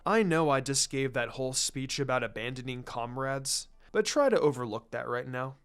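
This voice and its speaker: A man voicing a serious adult ninja mentor in a fan fiction reading, calm, stern and deliberate as he explains. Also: medium pitch, smooth, pitched below the performer's own voice. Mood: cool, casual.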